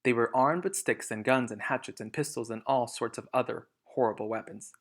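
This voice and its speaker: A man's voice: medium pitch, brisk, fairly bright tone. A man reading a children's storybook aloud, narrating and explaining to young listeners. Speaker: storybook reader.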